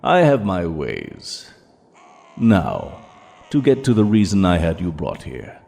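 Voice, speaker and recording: A man playing Satan in an audio drama, a suave, courteous and sinister ruler of hell who speaks formally and with measured politeness. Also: low voice, huge pitch swings, creaky, darkish tone, exaggerated voice. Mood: smooth, calculating. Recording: long cavernous reverb.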